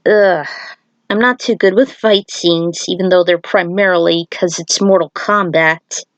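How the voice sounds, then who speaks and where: Unhurried woman reading her story, dry sound. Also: fairly low pitch, fairly even intonation, no room sound, highs cut off. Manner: chatty, self-commenting asides. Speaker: fan fiction writer reading own story. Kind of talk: story reading with casual asides.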